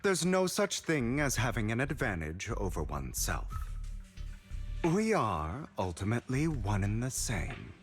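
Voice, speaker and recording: A man's voice: mid-range voice, huge pitch swings. A weary, resolute young man. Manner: measured, resolute, quietly tired. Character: weary, composed young man haunted by regret. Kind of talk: video game cutscene voice acting. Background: game music.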